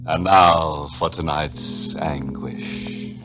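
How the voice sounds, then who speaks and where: A man's voice: rumbling low, fairly even intonation, drawn-out words, heavily acted. A man with an American accent playing a ghoulish, sardonic host of a 1940s radio horror mystery, narrating dramatically. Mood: ominous, suspenseful. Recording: muffled 1940s radio broadcast with music.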